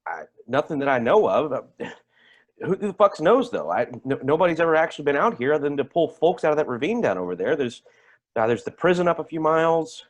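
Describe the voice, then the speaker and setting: A man's voice: a little high, big pitch swings, brisk. An actor in a staged play reading, playing a tactless, defensive man who stammers and repeats himself. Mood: nervous alarm. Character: tactless, defensive man, rambling and awkward, named Timothy.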